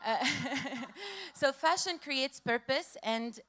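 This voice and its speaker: A woman in her thirties from Lebanon, giving a hurried, passionate conference talk from a stage with slides, repeating key phrases. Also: high-pitched, a bit bright. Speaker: conference speaker.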